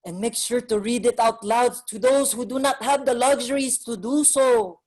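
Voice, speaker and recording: An actor playing an earnest Filipino migrant writer and activist, narrating dramatically in a staged reading. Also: male voice, very high voice, fairly even intonation, normal speed, darkish tone. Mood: rallying, urgent.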